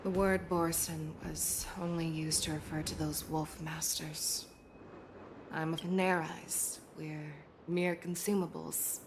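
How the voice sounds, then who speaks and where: An actress playing a stern, resolute female general in a video game, commanding, solemn and measured. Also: deep voice, little pitch movement, noisy background. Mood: somber. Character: stern, resolute female warrior general, cool-headed hunter. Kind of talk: dramatic video game voice acting.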